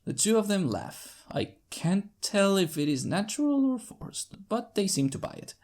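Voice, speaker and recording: A man with a creaky voice narrating a first-person story in an expressive, theatrical way. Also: high voice, normal speed. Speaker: fan fiction narrator.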